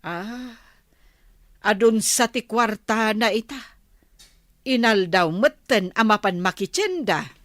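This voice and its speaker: Unhurried, teasing village woman. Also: mid-range voice, expressive, fairly bright tone, no echo, studio quality. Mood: curious. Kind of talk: radio drama acting.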